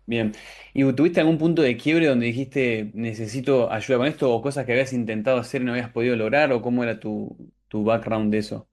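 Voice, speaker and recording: A man with a Rioplatense Argentine accent hosts a conversational interview. His voice sits at an ordinary male pitch. He words his questions at length, rephrasing them as he goes. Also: steady tone, fairly quick, darkish tone. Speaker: interviewer, coach. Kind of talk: conversational client testimonial interview.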